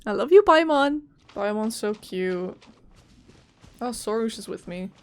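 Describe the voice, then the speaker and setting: A woman's voice: fairly high pitch, expressive, a little slow, clean tone. Chatty woman reacting to gameplay. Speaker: gaming streamer. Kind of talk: casual gameplay commentary.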